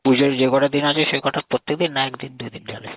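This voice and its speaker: Fast-talking Bengali man on tinny phone. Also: mid-range voice, level tone, no echo. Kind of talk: casual intimate phone chat. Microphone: phone line. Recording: tinny narrowband phone call recording.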